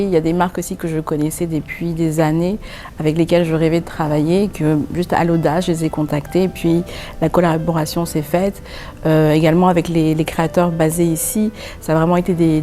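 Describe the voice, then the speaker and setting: Mellow-voiced French woman, hesitant. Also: lowish voice. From France. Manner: earnest, explains at length with many hesitations. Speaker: designer and boutique founder. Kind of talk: interview answers. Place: pop-up boutique.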